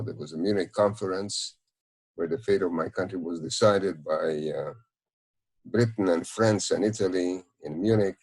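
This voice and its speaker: A man in his nineties with a Hungarian accent, recounting memories in an interview in a measured, halting way. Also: sits low, darkish tone. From Czechoslovakia. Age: 90s. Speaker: Holocaust survivor, interviewee. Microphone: video call.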